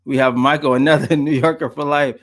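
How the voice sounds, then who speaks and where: A man from Queens, New York, co-hosting a live stream, relaxed and playful as he chats and reads out viewers' names. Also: a little high, a little fast. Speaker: co-host. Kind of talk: casual chat on a live stream.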